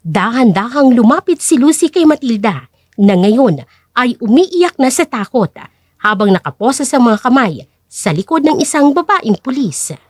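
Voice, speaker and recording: High, animated woman storyteller. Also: normal speed, no echo. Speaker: storyteller, host. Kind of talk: dramatic scripted story narration.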